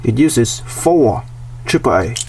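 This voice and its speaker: A man's voice: medium pitch, sing-song, slow pace, a little mellow. A man narrates a hands-on how-to in a casual, plain way, going step by step. He sounds a little away from the microphone, with faint noise in the recording. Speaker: DIY tutorial host. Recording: distant mic, background noise.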